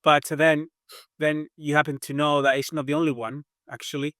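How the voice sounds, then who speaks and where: A Spanish-accented man speaks in a smooth, resonant voice that stays fairly flat and even. He answers slowly and thoughtfully, pausing often. The sound is close and dry, with no room echo. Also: on the high side. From southern Spain. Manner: measured, thoughtful, with many hesitations. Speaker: aerodynamics engineer, guest. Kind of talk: interview answers.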